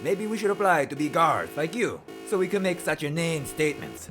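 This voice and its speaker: Casting: male actor as wry rebellious laborer, animated intonation, sardonic grumbler, audio drama. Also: on the high side, brisk, over music, close to an everyday voice. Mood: wry, defiant. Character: sarcastic, defiant male indentured laborer. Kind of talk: science fiction audio drama acting.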